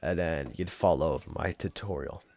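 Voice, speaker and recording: A young man hosting a casual software tutorial in an informal, rambling way. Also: a bass voice, moderate pace, vocal fry, dry recording. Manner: informal, rambling, mild swearing. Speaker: tutorial host. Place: room at home.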